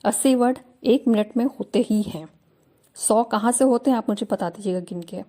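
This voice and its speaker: A young woman with a fairly high but mellow, warm voice teaches shorthand. She reads in slow, deliberate word groups and speaks plainly and firmly to her students. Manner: measured, deliberate phrasing with pauses between word groups; firm, earnest, mildly aggrieved. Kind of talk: slow word-by-word dictation reading; casual direct address to students.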